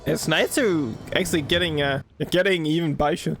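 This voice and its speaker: A man giving casual, halting, rambling commentary while playing a game. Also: a little high, a bit bright, soft background music. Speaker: gaming streamer.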